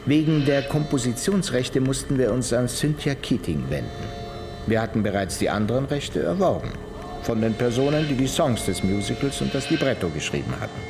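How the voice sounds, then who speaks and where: Actor as a animated, cynical theatre producer. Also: male voice, medium pitch, fairly quick, music in the background, lightly acted. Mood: businesslike. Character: talkative, cynical male theatre musical producer. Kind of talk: radio crime drama acting.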